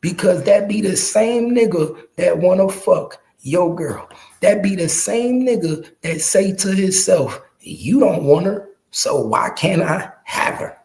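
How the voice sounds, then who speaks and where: A man's voice: high voice, gravelly, darkish tone. A man in his early forties giving casual, slangy street commentary to his viewers, emphatic and profane, repeating phrases to drive them home. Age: early 40s. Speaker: podcast host.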